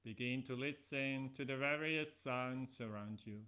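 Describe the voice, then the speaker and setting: A middle-aged man teaching yoga nidra, giving guided relaxation instructions in measured, deliberate phrases with pauses. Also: medium pitch, sharp tone.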